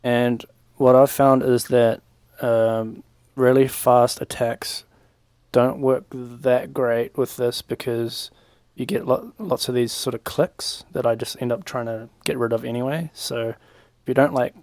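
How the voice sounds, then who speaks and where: A young man giving a casual, informal software tutorial, talking through each step in a laid-back, slangy way at a desk microphone. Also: medium pitch, steady tone, measured pace, dry recording. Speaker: music production tutorial presenter. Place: small home room, indoors. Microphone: desk microphone on a boom arm.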